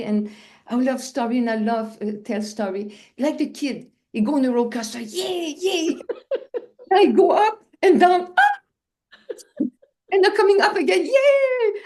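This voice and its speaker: A middle-aged woman from Quebec, a native French speaker with a Quebec French accent, telling spiritual stories in an interview with laughs and acted-out scenes. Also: sits high. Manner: animated storyteller, acts out scenes with laughs and cries. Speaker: guest.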